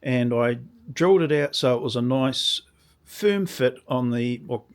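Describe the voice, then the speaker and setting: This is a man with a slightly croaky voice, walking through a workshop build in a relaxed, unhurried way. He speaks at a slow pace, wanders mid-sentence and restarts his thoughts. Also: mid-range voice. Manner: rambling, restarts sentences while explaining. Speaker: woodworking channel host. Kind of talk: casual workshop demonstration.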